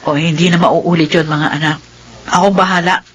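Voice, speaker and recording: A woman's voice: fairly low pitch, fairly even intonation, fairly quick, fairly bright tone, breathy edge. A woman acting a patient, self-sacrificing mother in a Tagalog radio drama. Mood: reassuring.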